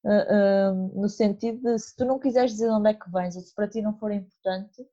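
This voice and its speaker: A woman with a Porto accent hosts a conversational interview, her voice mellow and relaxed. She thinks aloud, hesitating and restarting often. The recording is dull and lo-fi. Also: mid-range voice, brisk. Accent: northern Portuguese (Porto). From Porto, northern Portugal. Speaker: podcast host.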